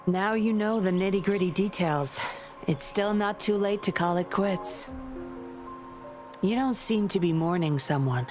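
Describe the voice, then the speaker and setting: A woman's voice: mid-range voice, measured pace, darkish tone, faint music behind, lightly acted. A woman playing a cool, self-assured undercover investigator in a game, composed, knowing and a little teasing. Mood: composed, sly.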